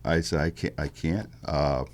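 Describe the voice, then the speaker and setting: A male musician with a deep bass-baritone voice, talking in a halting, thoughtful way with fillers and restarts in a casual interview. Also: fairly even intonation. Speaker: musician guest.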